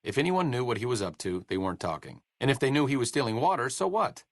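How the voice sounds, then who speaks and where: An actor playing a sardonic young scholar and medic in a video game, with dry, sarcastic wit. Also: male voice, medium pitch, very animated, fast talker, no room sound. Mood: wry. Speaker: game companion character. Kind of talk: studio video game voice acting.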